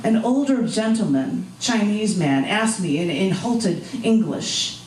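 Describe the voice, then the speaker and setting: A woman's voice: mid-range voice, lively intonation, slow pace, darkish tone. An American woman speaking as a poet and host at a live open mic, with a spoken-word delivery. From United States. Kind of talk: live spoken-word poetry reading with casual asides. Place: indoor bar gallery open mic. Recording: roomy, distant live recording with background noise.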